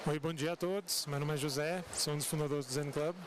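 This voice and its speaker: A man from Portugal with a European Portuguese accent, introducing himself as a co-founder on a panel, polite and measured with small pauses. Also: fairly high pitch, steady tone. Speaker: company co-founder. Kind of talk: conference panel self-introduction. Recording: noisy venue ambience.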